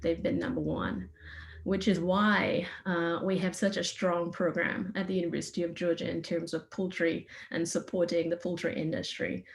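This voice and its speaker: Trinidadian woman in her 40s, lowish voice, upbeat science talk. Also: little pitch movement, normal speed, vocal fry. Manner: friendly, enthusiastic, some fillers. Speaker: veterinarian and researcher giving a talk. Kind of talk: informal science presentation. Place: remote online session.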